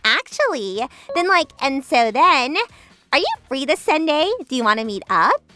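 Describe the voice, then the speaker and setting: A woman plays young women friends in a manga dub with a high, bright voice that swings widely from low murmurs to high cries. The delivery is emotional and pleading, over soft background music. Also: very animated, moderate pace, full character voice. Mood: friendly. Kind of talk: anime-style manga dub acting.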